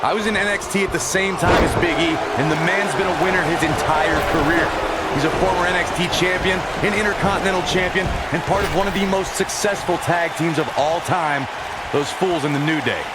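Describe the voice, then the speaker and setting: A man's voice: high-pitched, fairly even intonation, a little fast, natural voice. A man playing a pro wrestling commentator for a video game, calling the match as broadcast commentary. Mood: conversational, knowing. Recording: loud arena crowd noise behind commentary. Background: roaring crowd and ring slams.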